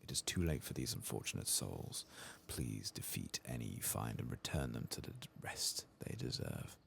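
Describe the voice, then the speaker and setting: Man reading as a deep, solemn tomb keeper. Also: lively intonation, normal speed, creaky, slight background noise, heavily acted. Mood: weary, sorrowful. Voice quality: soft-spoken. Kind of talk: reading fantasy game quest dialogue aloud.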